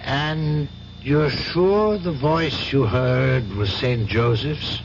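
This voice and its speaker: A solemn, probing priest. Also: male voice, medium pitch, gravelly. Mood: grave doubt. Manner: measured, solemn. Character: grave, gently probing male priest. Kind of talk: old-time radio drama acting. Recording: dull, noisy old radio recording.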